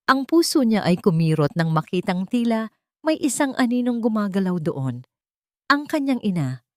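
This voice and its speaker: A woman narrating a dramatic audio story in an emotive, expressive way. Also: fairly low pitch, dry recording, studio quality.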